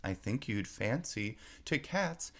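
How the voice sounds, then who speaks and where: Man voicing an earnest little storybook girl, swooping pitch. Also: expressive, takes it slowly, fairly bright tone, heavily acted. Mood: apologetic, soothing. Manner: earnest, wondering, apologetic. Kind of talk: storybook character reading.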